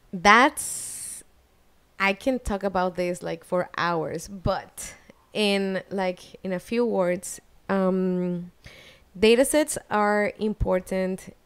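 Slow, smooth-voiced woman from Mexico. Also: medium pitch, steady tone, no echo. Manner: hesitant, with many "um" fillers. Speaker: guest artist. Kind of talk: casual interview. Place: living room.

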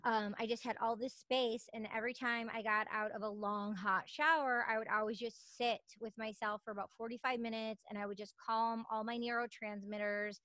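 A woman's voice: on the high side, steady tone, moderate pace, hardly any pauses, pushed and bright, no room sound. A woman in her thirties chatting casually as a podcast host, in an informal, discursive way, into a close studio mic. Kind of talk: casual interview chat. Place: small home studio. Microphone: studio mic on boom arm, close.

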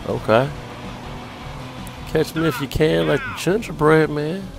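A man's voice: on the high side, measured pace, creaky, music in the background. A tired man giving casual live commentary on a game, slangy and sweary, muttering to himself and his chat. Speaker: video game streamer.

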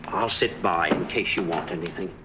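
An actor playing a kindly, chummy English ex-boxer cab driver in a 1940s film. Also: male voice, sits low, normal speed, noisy background, natural voice. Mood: gentle, reassuring. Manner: chummy, soothing, colloquial. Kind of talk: 1940s film noir acting. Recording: old 1940s film soundtrack with music.

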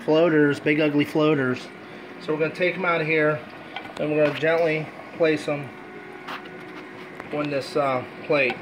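A man's voice: fairly high pitch, level tone, measured pace, pauses often, noisy background. A man in his forties hosting a casual home cooking demonstration in his kitchen, talking in an easygoing, rambling, self-deprecating way. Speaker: home cooking channel host. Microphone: handheld camera filming his hands.

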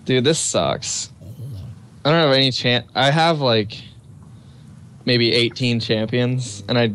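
A man's voice: medium pitch, steady tone, takes it slowly, fairly bright tone, a little hiss or hum. A young man on a voice call, chatting laid-back about games and complaining about his options. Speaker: friend on voice call. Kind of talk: casual gaming chat.

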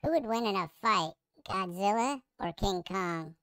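A little fantasy elf talks in a high, swooping voice. A voice changer lifts the pitch far up, and the processed sound sits slightly away from the mic. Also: male voice, animated, measured pace, sharp tone, smooth, dry recording, clean studio sound, exaggerated voice. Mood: playful.

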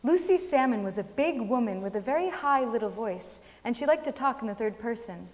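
Young woman reading, highish voice, hall reverb. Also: animated, a little fast, a little mellow. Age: young adult. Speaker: reader on stage. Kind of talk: dramatic reading from a script. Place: large wooden concert hall stage.

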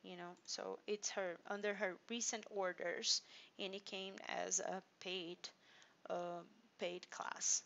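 A woman from Brazil with a Brazilian Portuguese accent, a native Portuguese speaker, demonstrating software in an unhurried screen-share walkthrough full of fillers and restarts. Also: mid-range voice, level tone, muffled recording. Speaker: software company presenter.

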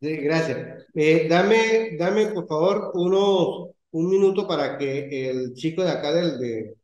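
A middle-aged Venezuelan man leading an online class discussion as professor, speaking in a measured, hesitant way with many fillers. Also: on the high side, a little mellow. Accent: Venezuelan Spanish. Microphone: video call. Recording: echoey lo-fi video call audio.

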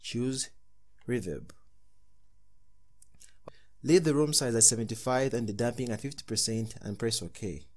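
A man's voice: mid-range voice, measured pace, long pauses, a little mellow. A man narrating a step-by-step tutorial as its host.

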